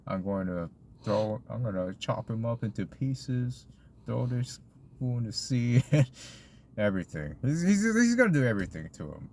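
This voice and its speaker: A man's voice: mid-range voice, very animated, moderate pace, darkish tone, a little hiss or hum. A man co-hosting a casual podcast, rambling with lots of fillers as he recaps a show. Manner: rambling, lots of likes and ums. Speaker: podcast co-host. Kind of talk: casual chat recapping a convention.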